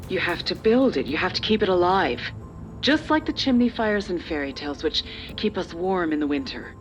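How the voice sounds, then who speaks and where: A measured middle-aged official played by a woman, persuasive and faintly patronizing, speaks as a calculating authority in a game drama. Music plays behind the voice. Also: mid-range voice, lightly acted. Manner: measured, persuasive, slightly condescending. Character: older female corporate official and parent, calculating, persuasive, patronizing. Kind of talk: video game dramatic voice acting.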